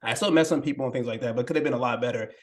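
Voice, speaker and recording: A young man talking casually as a podcast guest, softly and hesitantly, with many fillers and restarts. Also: medium pitch, racing. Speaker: podcast guest, language learning creator. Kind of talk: casual interview conversation.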